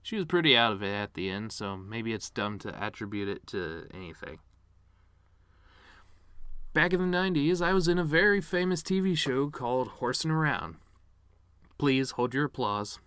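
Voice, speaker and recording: Male actor as washed-up middle-aged TV star, wounded and sardonic, eulogy monologue, medium pitch, very dry close sound. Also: big pitch swings, moderate pace, clean tone, no room sound. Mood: wry. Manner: sardonic, theatrical, swings between outbursts and hushed asides. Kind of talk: dramatic monologue reading, fan performance.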